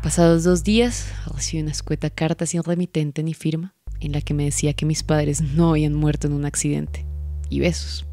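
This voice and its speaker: A woman's voice: low-pitched, flat delivery, fairly quick, warm tone, lightly acted. An actress playing a bitter, wronged wealthy Colombian woman who confesses to a priest in a radio drama, brooding and emphatic. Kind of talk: Spanish-language radio drama monologue.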